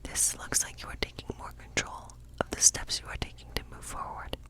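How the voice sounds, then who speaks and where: A woman playing a gentle witch in an ASMR tarot role play, speaking in a soft, breathy, unhurried whisper. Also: lightly acted. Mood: soft, musing. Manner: gentle, unhurried, softly musing. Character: friendly cottage witch woman reading tarot by night.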